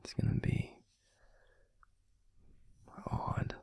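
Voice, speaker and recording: A man voicing a gentle, protective alpha werewolf boyfriend in an intimate ASMR roleplay, murmuring slowly and haltingly in a breathy, gravelly near-whisper. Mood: subdued, wistful. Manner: slow, halting, soothing murmur with pauses.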